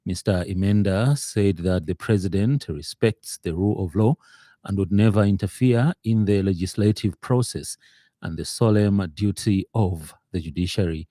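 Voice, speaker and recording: A man with a Zambian accent reading a scripted radio news bulletin in a formal, measured way. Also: low-pitched, mellow, no echo.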